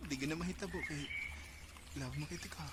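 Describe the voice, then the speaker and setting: A man's voice: on the high side, fairly quick, natural voice. A man with a Bisaya accent playing an eager, lovestruck young rural student son in a radio drama. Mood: tender, smitten. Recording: very noisy radio drama recording.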